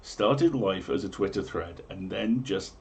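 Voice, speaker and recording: A man's voice: fairly low pitch, animated, measured pace, gravelly, darkish tone, a little hiss or hum. A man hosting a livestream, speaking in an emphatic, theatrical way as a reader and presenter. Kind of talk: dramatic comic reading in mock-medieval English, then casual chat.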